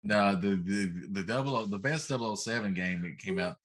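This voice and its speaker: A man's voice: lowish voice, brisk. A man co-hosting a casual talk show, chatting about his opinions with friends. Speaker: podcast co-host. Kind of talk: casual panel chat.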